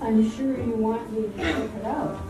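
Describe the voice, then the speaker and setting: A woman's voice: a little high, normal speed, natural voice. A woman playing a gossipy, fawning female assistant in an amateur radio drama, recorded from afar in a very echoey hall. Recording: distant, very echoey hall, music behind.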